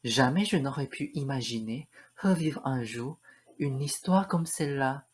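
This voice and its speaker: A man's voice: medium pitch, lively intonation, a little slow, husky. A man playing an earnest, lovestruck young prince in a French radio play, speaking in solemn declarations. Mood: earnest, smitten.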